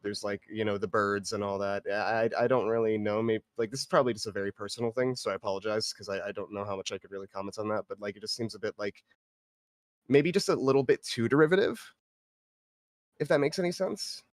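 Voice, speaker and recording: A man's voice: fairly low pitch, quick pace, no room sound. A man giving casual feedback in a hesitant, hedging, rambling way. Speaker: participant giving script feedback. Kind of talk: casual spoken critique.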